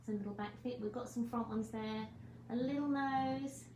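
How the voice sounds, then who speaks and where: A woman's voice: fairly high pitch, fairly even intonation, moderate pace, mellow. A woman leading children's story time as a teacher, encouraging and asking her listeners questions. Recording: echoey room, faint noise.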